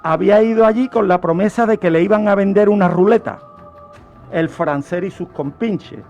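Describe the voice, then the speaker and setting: A high-voiced man from Spain narrates true crime as a radio presenter. He phrases things deliberately and repeats words for emphasis. Music plays faintly behind him. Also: a little mellow, dull audio. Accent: Spanish from Spain.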